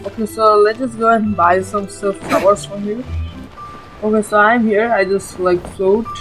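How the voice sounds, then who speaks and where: A woman's voice: mid-range voice, fairly even intonation, moderate pace, over music, poor audio. Casual woman doing gameplay commentary. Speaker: gaming video host.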